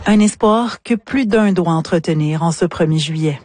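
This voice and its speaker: Low-voiced woman radio reporter. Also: moderate pace, darkish tone, a little hiss or hum. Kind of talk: scripted narration of a news report.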